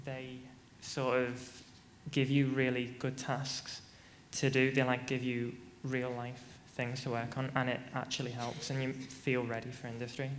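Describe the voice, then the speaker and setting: A teenage boy speaks on a large conference stage, holding a mic and filling his answers with ums and likes. His pitch sits in a middle range but stays very flat. A long hall reverb trails behind him with a faint hum of ambience. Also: unhurried, hardly any pauses. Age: teens. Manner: hesitant, many ums and likes. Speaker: student panelist. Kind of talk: panel conversation answers. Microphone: handheld mic.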